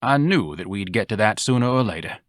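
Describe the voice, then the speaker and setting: A man's voice: fairly low pitch, expressive, brisk, no room sound, studio quality, lightly acted. A man voicing a pompous, self-pitying fat young man who speaks in lofty, grandiloquent phrases. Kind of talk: audiobook dialogue.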